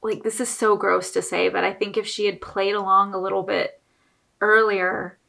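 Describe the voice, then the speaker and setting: A woman's voice: mid-range voice, little pitch movement, normal speed, creaky, bright, forceful tone. A woman hosting a reaction video, giving casual, opinionated commentary on a TV show in a conversational way.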